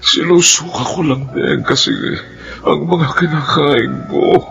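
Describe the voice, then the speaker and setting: Gravelly, slow, ailing Filipino farmer. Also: male voice, fairly high pitch, lo-fi sound, noisy background. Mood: despairing, bitter. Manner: slow, laboured, defeated. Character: sick, despairing older farmer longing to die. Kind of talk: Filipino radio drama acting. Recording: music under the voice.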